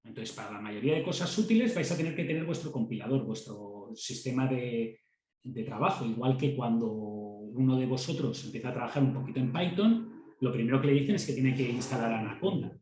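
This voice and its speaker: A man with a Castilian Spanish accent teaches a class in a relaxed, conversational way. He often repeats a word before going on and checks that students are following. His voice sits at a middle pitch and rings around a roomy, echoey classroom. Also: fairly quick. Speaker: university lecturer. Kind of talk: classroom lecture.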